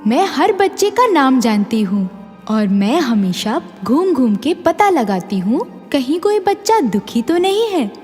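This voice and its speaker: Woman as a slow, high-voiced magical fairy. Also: sing-song, darkish tone, husky, full character voice. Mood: caring. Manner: deliberate, caring, solemn when warning. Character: kindly magical fairy guardian of children, gently stern. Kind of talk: children's animated moral story dialogue. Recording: long echoing reverb, music behind.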